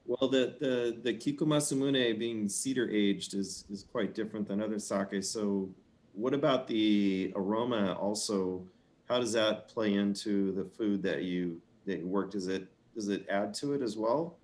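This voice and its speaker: A man co-hosting a casual chat, asking calm and curious questions. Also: fairly low pitch, unhurried.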